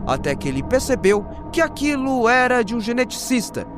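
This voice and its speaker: A man's voice: very high-pitched, very animated, fairly quick. A man with a Brazilian accent narrating a horror recap dramatically and expressively, with slangy jokey asides. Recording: voice over prominent background music. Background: music.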